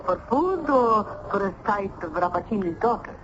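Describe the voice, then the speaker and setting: A woman's voice: mid-range voice, big pitch swings, moderate pace, lightly acted. An actress playing a motherly, sly Italian landlady with an Italian accent, teasing and bossy, in an old-time radio drama. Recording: muffled, noisy vintage radio recording.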